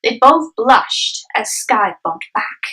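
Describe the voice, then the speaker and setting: A woman's voice: fairly high pitch, lively intonation, slow, sharp tone, far from the mic, rough recording. A young adult woman reading scripted narration for a dramatic story.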